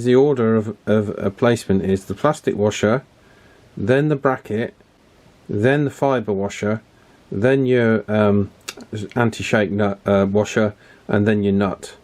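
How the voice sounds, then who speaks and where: Lower-pitched man talking through a repair. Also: darkish tone. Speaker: radio repair hobbyist and video host. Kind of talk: informal hands-on repair commentary.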